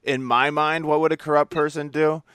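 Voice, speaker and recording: A man hosting a casual interview, thinking aloud in a halting, conversational way. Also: fairly high pitch, level tone, fairly bright tone, clean tone, dry recording. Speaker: podcast host, interviewer.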